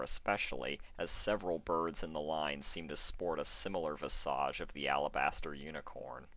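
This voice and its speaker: A man with a low, forceful voice reads a story aloud with expressive narration. The sound is close and dry, with very little room. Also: no echo. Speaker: fan fiction reader.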